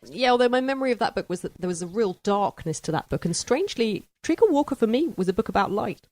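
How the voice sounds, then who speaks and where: A woman's voice: mid-range voice, expressive, quick pace, darkish tone, no echo. A woman hosting a book discussion podcast, chatting in a thoughtful, conversational way. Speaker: podcast host.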